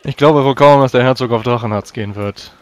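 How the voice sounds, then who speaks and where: A man's voice: mid-range voice, fairly quick, highs cut off, lightly acted. A man playing a weary, irritable one-eyed adventurer in a tabletop game, curt and sceptical.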